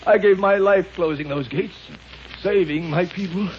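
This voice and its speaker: An actor playing a desperate, guilt-stricken dying tyrant in a theatrical, halting 1930s radio melodrama style. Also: male voice, high-pitched, natural voice. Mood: weak, dying. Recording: noisy muffled 1930s radio recording.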